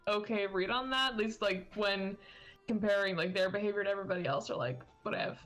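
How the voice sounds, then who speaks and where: A woman's voice: medium pitch, quick pace, a bit bright. A woman playing a live tabletop game, chatting casually in tentative, broken phrases. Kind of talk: casual chat in live play. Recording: faint music behind the voice.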